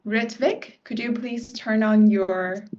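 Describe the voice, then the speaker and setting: Slow-talking woman hosting an online quiz. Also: fairly high pitch. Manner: relaxed and chatty, with many fillers. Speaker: quiz host. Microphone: video call. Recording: dull lo-fi video call audio.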